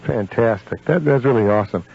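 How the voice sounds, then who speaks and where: Husky American man hosting lo-fi radio. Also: medium pitch, brisk. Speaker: radio host. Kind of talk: casual radio interview chat. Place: radio show. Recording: dull lo-fi radio recording.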